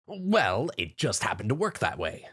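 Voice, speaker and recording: A man voicing a smug, chaotic male spirit who talks in a sly, drawn-out, gloating way. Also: fairly low pitch, huge pitch swings, a bit bright, slightly rough, full character voice.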